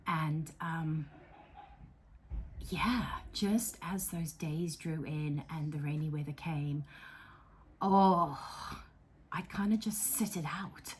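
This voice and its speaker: Middle-aged South African woman host, slow and low-pitched, breathy, chatty and self-deprecating. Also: slight background noise. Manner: chatty, self-deprecating, frequent fillers and soft whispery asides. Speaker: psychotherapist and channel host. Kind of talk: casual talking-to-camera vlog. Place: her counselling room.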